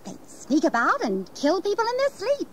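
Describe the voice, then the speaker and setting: An actress playing an anxious, devoted, genteel young wife in a historical audio drama, fretful and hesitant. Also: female voice, extremely high pitch, wildly swooping pitch, moderate pace, full character voice. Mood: worried. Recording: noisy 1980s audio drama tape.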